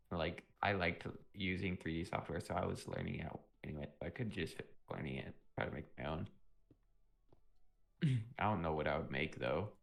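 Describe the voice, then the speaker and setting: A young man on a live stream, chatting casually and rambling with lots of fillers, a little sick and often clearing his throat. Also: low voice, measured pace, long pauses. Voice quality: vocal fry. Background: music playing.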